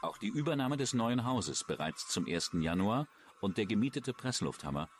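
A man's voice: on the low side, very animated, moderate pace. A man reading scripted true-crime documentary narration as the narrator. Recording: music under the voice. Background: music.

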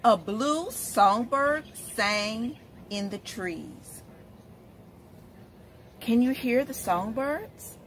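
Middle-aged female storytime reader, animated and highish, question-led delivery for little kids, light outdoor background noise. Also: very slow, pauses often. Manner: expressive, asking questions as if to small children. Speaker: storytime host, literacy educator. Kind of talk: read-aloud picture book storytime for young children. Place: outdoors, in front of leafy shrubs.

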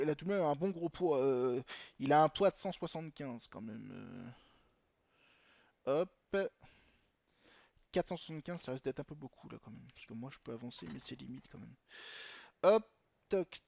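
A man's voice: a little high, creaky, darkish tone, no echo. A young man commenting on his gameplay in a chatty way, swearing often and talking to himself. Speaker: gaming channel host.

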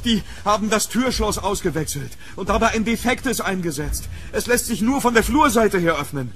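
A man's voice: sits high, animated, normal speed, fairly bright tone, a little hiss or hum. An actor playing a panicked, desperate English nobleman in a horror radio drama, with urgent, stammering repetitions. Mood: angry, frustrated.

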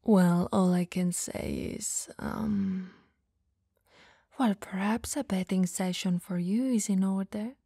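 A woman playing an elegant, dominant vampire lady who teases flirtatiously yet caringly in an ASMR roleplay, softly and breathily. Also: medium pitch, fairly even intonation, no room sound, clean studio sound, exaggerated voice. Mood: teasing, seductive. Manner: soft, breathy, slow teasing delivery, often dropping to whispers.

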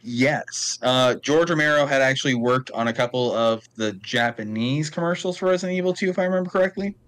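A man talking casually on a podcast over a video call, explaining his opinions in a measured way. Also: mid-range voice, fairly bright tone. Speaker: podcast participant. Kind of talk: casual chat.

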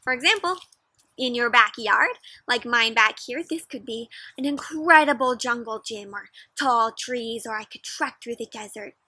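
A young woman reads a picture book aloud to children in a high, bright voice. Her delivery is expressive and interactive, often turning to question the listener, and her voice has a slightly husky, breathy edge. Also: normal speed, no echo. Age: young adult. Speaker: children's story reader. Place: outdoors in a backyard with play structure.

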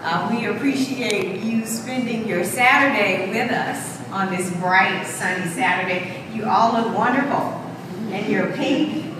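A woman's voice: medium pitch, unhurried, background noise. A middle-aged woman giving an encouraging welcome speech into a handheld mic at a podium, with fillers. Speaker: keynote speaker. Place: large indoor event hall. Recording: echoey hall from far off, music behind.

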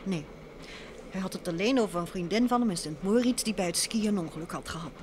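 An actress playing a detective's chatty, concerned wife in an old Dutch radio play. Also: female voice, fast, a little mellow, close to an everyday voice. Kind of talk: mid-20th-century Dutch radio play acting. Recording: old radio recording, clear vehicle rumble noise.

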